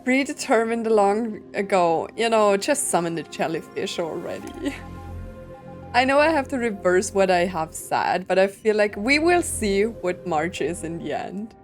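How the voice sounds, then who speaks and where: A young woman talks her way through a game story in a loose, candid way, tossing blunt quips at the characters. Her voice sits at an ordinary middle pitch for a woman, and faint game music plays behind her. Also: expressive, a little slow. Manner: chatty and candid, with many fillers, laughs and blunt quips at the game. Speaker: gaming streamer. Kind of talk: casual game playthrough commentary.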